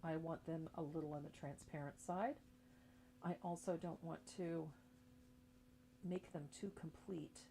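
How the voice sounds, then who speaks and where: Female craft instructor, middle-aged, slightly low voice, informal and chatty livestream delivery, faint background noise. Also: fairly even intonation, unhurried, creaky, pauses often, dark tone. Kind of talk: casual live craft tutorial. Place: indoor craft table.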